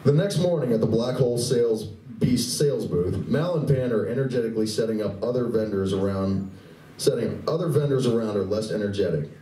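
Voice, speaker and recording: Male radio play narrator, soft dark timbre, slightly low, echoey distant pickup. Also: a little fast. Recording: roomy, distant recording with faint noise.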